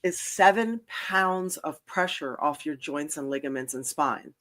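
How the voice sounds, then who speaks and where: A woman hosting a casual talk show, chatty and quick to jump in with asides. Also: low-pitched, fairly bright tone. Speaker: host. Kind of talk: casual chat.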